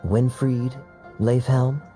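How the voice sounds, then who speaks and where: A man playing a suave, sly cavalry captain in a video game, with smooth, leisurely teasing delivery. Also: medium pitch, fairly even intonation, extremely slow. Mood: sly amusement. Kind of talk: animated game dialogue acting. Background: soft background music.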